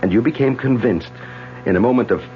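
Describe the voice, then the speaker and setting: A man's voice: mid-range voice, fairly quick, a little hiss or hum, close to an everyday voice. An actor playing Philip, a man who coaxes and then solemnly persuades, in 1940s radio-drama style. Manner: urgent, coaxing, then solemn. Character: man named Philip, anxious and controlling. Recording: dull old radio broadcast with music.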